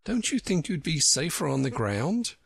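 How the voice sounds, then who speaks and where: A man voicing a polite, curious young girl from a storybook, gentle and earnest. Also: a little high, big pitch swings, normal speed, vocal fry, husky, no room sound, studio quality, pitched above the performer's own voice, exaggerated voice. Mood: curious, anxious. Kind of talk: storybook character reading.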